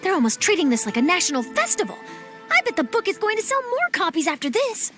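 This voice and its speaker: Curious young journalist, high voice, lively pitch, eager and talkative, game music behind. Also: female voice, fast talker, exaggerated voice. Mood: chatty. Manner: eager, chatty, flustered at times. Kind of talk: game cutscene voice acting.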